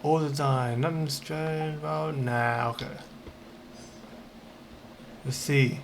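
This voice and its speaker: A man giving casual, halting let's-play commentary, thinking aloud as he plays. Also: fairly high pitch, steady tone. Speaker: game streamer. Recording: noisy mic, game audio behind.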